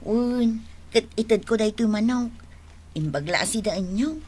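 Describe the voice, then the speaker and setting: An actress playing a chatty, forthright village neighbour in a radio drama, with a brisk manner and an Ilocano accent. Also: female voice, a little high, lively intonation, a little mellow, breathy edge. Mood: friendly, curious. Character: pushy, chatty village neighbour woman asking favours.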